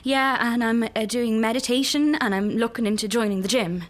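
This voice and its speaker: An actress with an Irish accent playing an anxious, fragile young woman in a radio drama, halting and unsure as she speaks. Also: fairly high pitch, fairly even intonation, natural voice. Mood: fragile, nervous. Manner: hesitant, halting. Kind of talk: naturalistic radio drama acting.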